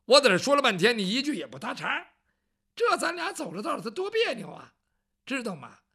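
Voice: mid-range voice, very animated, fairly quick, sharp tone, breathy edge, full character voice. Storyteller doing a chatty palace eunuch. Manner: talkative, nagging, teasing. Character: chatty, fussy palace eunuch, older man. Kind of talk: traditional Chinese storytelling.

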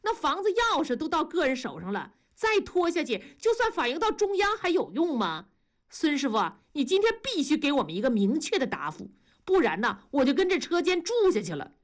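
A woman's voice: on the high side, sing-song, normal speed. A woman voicing a worker's brash, nagging wife in an audiobook, scolding and demanding in a confrontational way. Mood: indignant, demanding.

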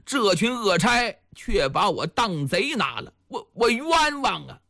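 A man voicing a big, rough captured vagabond who pleads and whines in a storyteller's tale. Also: high-pitched, big pitch swings, unhurried, sharp tone, no echo, studio quality, heavily acted. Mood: earnest, aggrieved. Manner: pleading, whining, stammering.

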